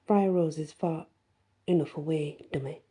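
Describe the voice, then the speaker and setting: A woman's voice: deep voice, fairly even intonation, a little slow, mellow, slightly rough. A woman voicing a protective, hot-headed teenage male werewolf in a fantasy story, speaking in a stern, confrontational way. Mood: angry, protective. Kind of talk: first-person fantasy audiobook dialogue.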